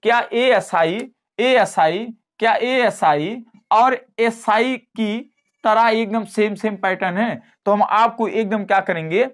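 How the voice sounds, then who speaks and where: A man's voice: high voice, a bit bright. Man, exam tutor, explanatory lesson style, repeated phrases, frequent check questions. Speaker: exam coaching teacher.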